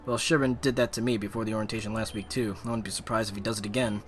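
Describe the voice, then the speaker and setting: A man's voice: lowish voice, steady tone, very fast, a little hiss or hum, pitched below the performer's own voice, close to an everyday voice. A man voicing a serious, stern young male academy student from a visual novel game, formal and reserved.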